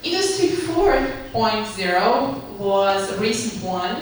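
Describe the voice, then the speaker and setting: A woman's voice: medium pitch, big pitch swings, slow pace. A woman moderating a conference panel, speaking to introduce the discussion and guests. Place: large conference hall stage. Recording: distant echoey hall microphone, faint noise.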